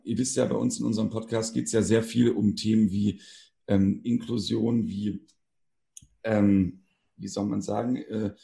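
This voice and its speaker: A man's voice: lowish voice, vocal fry, warm tone. A man hosting a casual podcast interview, informal and searching for his words as he goes. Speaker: podcast host.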